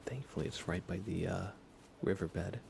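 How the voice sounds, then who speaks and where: A soft-spoken man rambling through relaxed ASMR live gaming commentary, musing aloud hesitantly and often slipping into a whisper. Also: deep voice, normal speed, gravelly, noisy background. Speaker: livestream game streamer.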